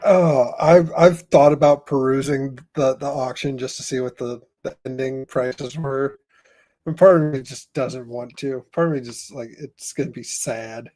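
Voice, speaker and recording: A man hosting a casual fan podcast, speaking informally and a little haltingly. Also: medium pitch, fairly even intonation, warm tone, poor audio. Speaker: podcast host.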